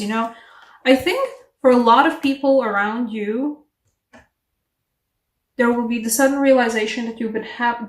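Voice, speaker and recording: A woman giving a casual tarot reading to camera, chatty and animated, English not her first language, laughing at herself between rambling asides. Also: a little high, far from the mic. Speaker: tarot reader. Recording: echoey room, voice a bit off-mic.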